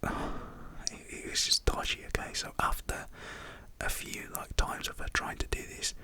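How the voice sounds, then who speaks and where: Young man, soft whispered storytelling. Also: a little slow. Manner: casual, rambling with many fillers.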